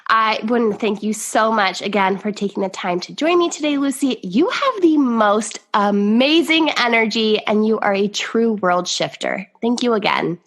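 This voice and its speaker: A woman hosting a podcast, a little nasal from sinus congestion, bubbly and quick with praise. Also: a little high, expressive. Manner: bubbly, laughs often, gushing praise. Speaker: podcast host. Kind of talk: casual interview chat.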